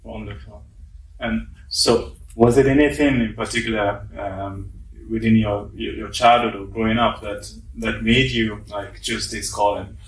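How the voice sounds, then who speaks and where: A man hosting an interview, asking questions in a hesitant, halting way with many ums and repeated words. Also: fairly low pitch, flat delivery. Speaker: interview host. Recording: echoey room, mic at a distance, faint noise.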